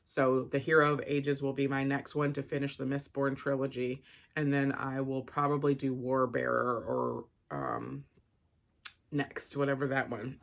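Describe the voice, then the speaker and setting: A woman's voice: extremely low pitch, a bit bright. A woman giving an informal, rambling book review and unboxing, thinking aloud with frequent asides.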